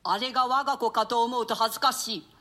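Woman playing grieving old duchess, bright edged voice. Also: a little high, fairly even intonation, fast talker. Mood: bitter shame. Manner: lamenting, theatrical, admonishing. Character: grieving, stern old duchess, grandmother to orphans. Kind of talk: dramatic reading of a classic stage play.

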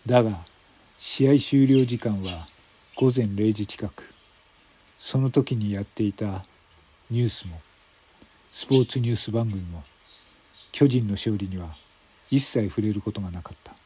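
男性がラジオドラマの台本を、間を取りながら語るナレーション。. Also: male voice, on the low side, measured pace, long pauses, warm tone, husky. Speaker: narrator. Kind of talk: scripted radio drama narration.

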